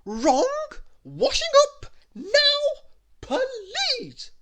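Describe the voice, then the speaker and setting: A woman's voice: very high-pitched, huge pitch swings, moderate pace, pitched above the performer's own voice, exaggerated voice. A woman voicing a bossy, domineering little female storybook character who shrieks and barks orders. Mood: shouting, bossy.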